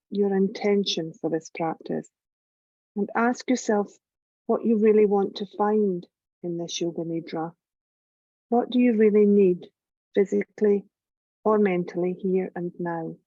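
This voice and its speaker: A woman leading a guided yoga nidra meditation in a gentle, calm, measured voice with long pauses. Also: medium pitch, dry recording, lo-fi sound. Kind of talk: slow guided relaxation meditation narration.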